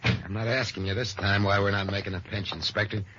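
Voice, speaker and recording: An actor playing a blunt, wisecracking, slangy police detective sidekick in an old-time radio drama. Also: male voice, lowish voice, steady tone, brisk, natural voice. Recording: muffled, noisy old radio recording.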